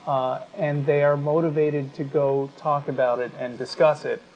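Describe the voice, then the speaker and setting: A man with an American accent speaks as a talk show host, making an argument conversationally and often pausing mid-sentence. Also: a little high, flat delivery, muffled recording, slight background noise.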